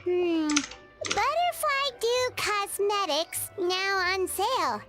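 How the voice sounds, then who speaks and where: A woman voicing a tiny, childlike, excitable fairy companion in a video game, squeaky and full of exclamations. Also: slow pace, exaggerated voice. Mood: curious, cheerful. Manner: exclamatory, refers to herself in third person. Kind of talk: video game character acting. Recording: music behind the voice.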